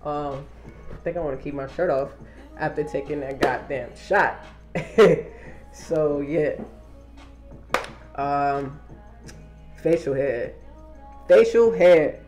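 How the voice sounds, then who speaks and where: A man's voice: fairly high pitch, slow, long pauses, a little mellow, soft background music. A young man talking casually to a camera held at arm's length in a small wood-paneled room, using loose slang and swearing freely. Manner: laid-back slangy talk, swearing. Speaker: vlogger. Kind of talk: casual vlog update to camera.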